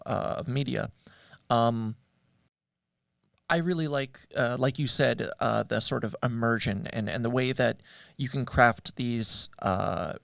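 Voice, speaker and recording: A man hosting a podcast in a casual, conversational way, with halting, hesitant phrasing. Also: medium pitch, creaky, a bit bright, no room sound, clean studio sound. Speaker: podcast host.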